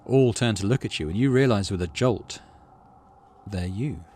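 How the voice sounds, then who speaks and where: A man's voice: on the low side, normal speed, warm tone, breathy edge. A man hosting a video game playthrough, reading the story text aloud and adding casual commentary. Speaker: gaming channel host.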